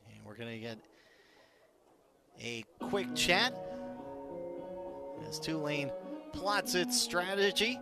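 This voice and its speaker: An American man calling live baseball play-by-play on the radio, measured between pitches and building to excited calls on big plays. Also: creaky, over music. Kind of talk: live radio sports commentary.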